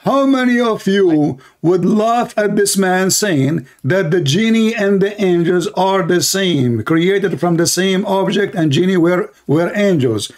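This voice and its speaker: A man's voice: sits high, lively intonation, a little slow, hardly any pauses. A man hosting an online debate, combative and mocking, repeating his demands. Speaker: debate host.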